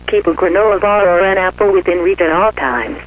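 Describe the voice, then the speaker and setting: A man reading a scripted emergency weather bulletin in a formal, measured, official way. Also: high voice. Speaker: emergency alert announcer. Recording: muffled radio-style audio with hum.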